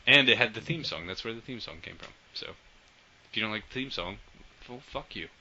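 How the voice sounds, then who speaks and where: A man hosting a casual podcast, enthusiastic and swearing often, trailing off quietly at the ends of sentences. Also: on the low side, lively intonation, brisk, a little hiss or hum. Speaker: podcast host. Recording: dull lo-fi audio.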